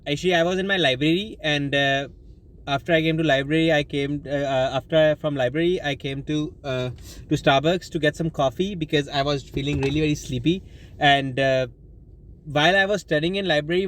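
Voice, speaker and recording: High-voiced young Pakistani man rambling. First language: Urdu. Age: young adult. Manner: rambling, many fillers and restarts, apologetic. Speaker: student vlogger. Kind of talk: casual advice vlog. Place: inside a car.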